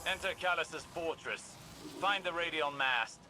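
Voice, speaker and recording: A stern older warrior-scholar, acted by a man gives orders in a video game. His voice is fairly high for a man, exacting and formal, with clear noise behind it. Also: measured pace, lightly acted. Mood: commanding. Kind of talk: video game mission briefing dialogue.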